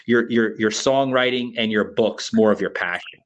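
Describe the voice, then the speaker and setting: A man from Florida co-hosting a podcast interview, talking in a halting way full of restarts and "you know" fillers. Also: on the low side, slight background noise. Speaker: podcast co-host. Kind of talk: casual interview chat.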